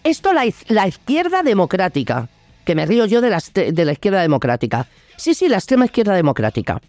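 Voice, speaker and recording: A woman's voice: a little high, darkish tone, no room sound. A woman with a Spanish accent from Spain delivers an opinionated political monologue in a blunt, indignant, colloquial way. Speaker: host of a political opinion video show.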